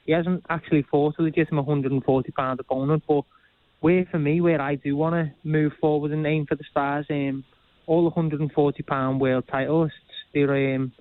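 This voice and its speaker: A young man with a broad Liverpool accent talks casually and bluntly, laughing easily between points. His voice sits a little high. He comes through a tinny, dry phone line. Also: fairly even intonation, normal speed, no room sound. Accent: Liverpool (Scouse). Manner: chatty, laughs often, plain-spoken. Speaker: boxer, phone-in guest. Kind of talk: casual interview chat.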